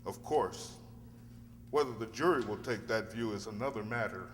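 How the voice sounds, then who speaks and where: A man playing a probing defence lawyer in an amateur radio drama, speaking formally and deliberately. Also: lowish voice, close to an everyday voice. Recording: echoey hall, faint hum.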